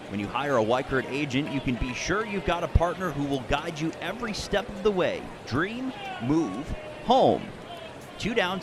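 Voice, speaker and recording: A man calling baseball play-by-play in a descriptive, measured radio broadcast style. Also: fairly high pitch, background noise. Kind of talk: live radio sports commentary with sponsor reads.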